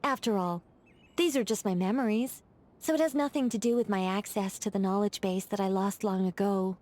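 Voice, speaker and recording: A woman's voice: medium pitch, a little fast, darkish tone, close to an everyday voice. An actress voicing a poised, playful female mage in a game, talking in a wry, teasing, chatty way. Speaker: game character. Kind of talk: game voice lines.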